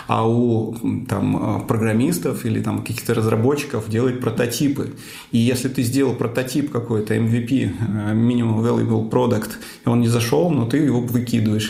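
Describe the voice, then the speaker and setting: A man, a conference speaker and cloud architect, answering interview questions thoughtfully, with many fillers and pauses. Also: mid-range voice, creaky, a little mellow, roomy sound.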